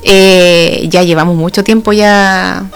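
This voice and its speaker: A woman's voice: fairly low pitch, fairly even intonation, takes it slowly, soft background music. A woman from Chile with a Chilean accent, speaking as a guest in a casual radio interview. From Santa Cruz, Chile. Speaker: guest, folk ballet dancer.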